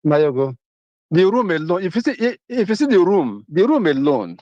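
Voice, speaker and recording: A man's voice: sits high, big pitch swings, normal speed, a little mellow, no echo. Nigerian man calling in over a dull line. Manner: emphatic, repeats key phrases. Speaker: caller. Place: Atlanta, abroad. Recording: dull muffled phone line.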